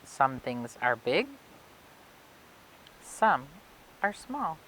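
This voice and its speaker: A woman reading a children's picture book aloud for a library storytime, expressively and phrase by phrase with emphatic pauses. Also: very deep voice, sing-song, fairly bright tone, rough recording. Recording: clear background noise and hiss.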